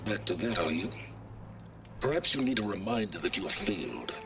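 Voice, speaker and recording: A man's voice: low-pitched, sing-song, gravelly, heavy background noise, heavily acted. A man playing a learned, condescending, coldly smug older schemer leaving a recorded message in a video game. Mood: taunting. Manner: deliberate, condescending. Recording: distant recorded message under loud music.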